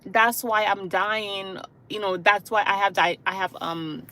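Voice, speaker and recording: A woman's voice: medium pitch, fairly even intonation, measured pace, bright, forceful tone. A woman from Haiti who works as a hospice caregiver, talking earnestly to viewers on a live stream, often checking in with "okay?" and "you know". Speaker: hospice caregiver and channel host. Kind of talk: livestream talk to viewers.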